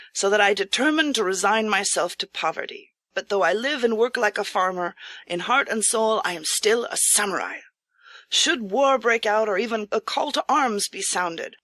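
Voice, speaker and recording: Ruined but proud samurai farmer for an audiobook female reader: bright tone, courteous formal delivery, dry close sound. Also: mid-range voice, no echo. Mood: proud, resolute. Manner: earnest, courteous, formal old-fashioned speech. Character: impoverished proud samurai disguised as humble farmer host. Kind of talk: audiobook character acting.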